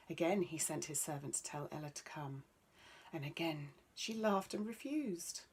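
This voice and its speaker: A woman's voice: lowish voice, darkish tone, husky. A woman narrating a children's bedtime story as a storyteller.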